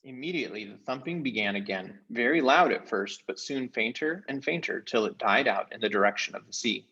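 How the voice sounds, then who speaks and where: A man reading a storybook aloud as an expressive narrator. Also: medium pitch, brisk, slightly rough, highs cut off.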